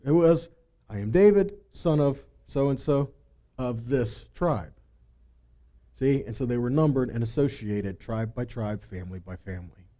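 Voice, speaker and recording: A man delivers a conversational sermon in a high voice with a soft, mellow tone. His pitch moves around a great deal as he reads scripture, repeats key phrases for emphasis and puts rhetorical questions to his listeners. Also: dull audio. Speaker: Bible teacher.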